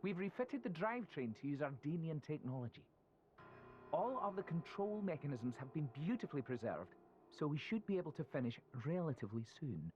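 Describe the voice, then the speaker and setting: A man's voice: a little high, very animated, a little fast, a little mellow, dull audio, faint music behind. A man playing a deferential, somewhat nervous military engineer in a video game, formal and dutiful. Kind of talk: video game cutscene acting.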